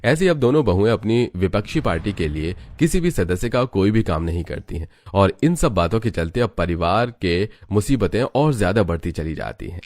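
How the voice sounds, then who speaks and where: A man's voice: lowish voice, animated, normal speed, hardly any pauses, a little mellow, no echo. A man narrating a scripted family story as its storyteller.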